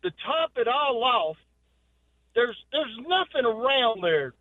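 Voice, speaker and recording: Caller, middle-aged man, high voice, folksy storyteller over a tinny phone line. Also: sing-song, slow pace, no room sound. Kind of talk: telling a story on a phone call.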